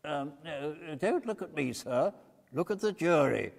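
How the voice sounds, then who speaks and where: Man playing a sarcastic, lively bullying barrister. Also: on the high side, measured pace, vocal fry, exaggerated voice. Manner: peremptory, mock-polite questioning. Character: brisk, sarcastic, bullying junior male barrister. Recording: reverberant church hall.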